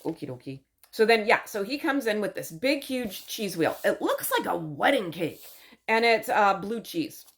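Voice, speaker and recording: A woman's voice: medium pitch, very animated, normal speed. A woman with a mid-range voice recapping a show in a loose, chatty way. She drops dry, sarcastic asides as she goes, keeping an easygoing conversational rhythm. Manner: chatty, wry, full of asides. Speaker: channel host.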